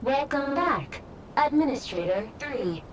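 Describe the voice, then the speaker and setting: A woman's voice: sits high, lively intonation, a little slow, a little hiss or hum. A woman voicing an impassive service robot in a video game, making formal, clipped system announcements.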